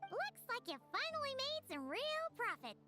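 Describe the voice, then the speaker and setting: Childlike floating girl, piercingly high, hugely animated, curious, chatty, video game, clear background music. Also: moderate pace. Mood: concerned, teasing. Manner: chirpy, chatty, exclaiming. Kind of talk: English video game voice acting.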